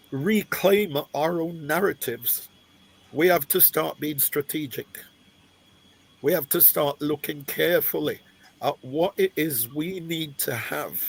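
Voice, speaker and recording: Gravelly, husky Jamaican-born man. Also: fairly high pitch. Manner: hesitant, searching for words with restarts. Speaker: panelist. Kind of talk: panel discussion.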